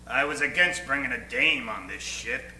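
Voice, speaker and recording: An actor playing a gruff, bossy space captain with hard-boiled slang in an old-time radio serial. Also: male voice, mid-range voice, normal speed, bright, forceful tone, roomy sound, far from the mic, slight background noise, rough recording. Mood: gruff, stern. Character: gruff, bossy male space captain, grumpy hero pilot.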